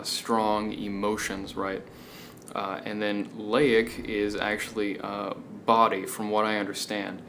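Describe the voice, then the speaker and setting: A man hosting a casual explanatory commentary, hesitant as he goes and often checking in with the listener. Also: on the low side. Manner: hesitant, checking in with "right?" and "okay?". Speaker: video essay host. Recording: steady background noise.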